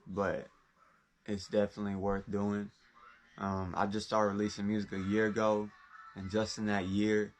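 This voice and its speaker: A young man from talks in a low, even voice that barely rises or falls. He rambles casually and drops plenty of street slang as he speaks about himself. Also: a little hiss or hum. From Orlando, Florida. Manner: laid-back street slang, loose and rambling. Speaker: rapper. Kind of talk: casual first-person talk.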